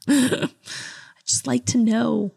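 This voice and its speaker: A woman's voice: on the high side, steady tone, drawn-out words, creaky, dark tone, slightly rough. A woman co-hosting a podcast in casual chat, with an informal, conversational way of talking. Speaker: podcast co-host.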